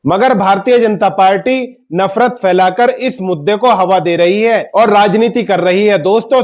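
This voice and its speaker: Male, high voice, news commentator, scripted political delivery, emphatic, direct address to viewers. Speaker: news commentator and channel host.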